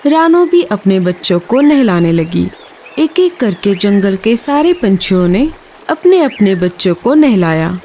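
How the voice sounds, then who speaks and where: A woman's voice: mid-range voice, big pitch swings, measured pace, mellow, a little hiss or hum. A woman giving scripted narration for a children's story.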